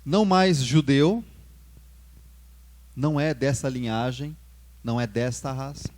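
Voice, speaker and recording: A young adult Brazilian pastor with a Brazilian Portuguese accent, preaching a sermon, lifting his voice on key words and dropping low at the ends of phrases. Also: unhurried, darkish tone.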